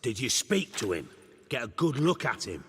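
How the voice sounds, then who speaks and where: A man's voice: medium pitch, very animated, moderate pace, a bit bright, long reverb tail, a little hiss or hum. An actor voicing a sardonic, bossy, middle-aged male spymaster in a video game, sarcastic, imperious and crude.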